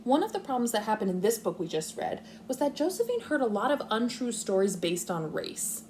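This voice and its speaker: A woman teacher with a lively, varied pitch leads a read-aloud lesson for young children. She explains her thinking aloud step by step. There is some faint background noise on the recording. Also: brisk. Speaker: kindergarten teacher.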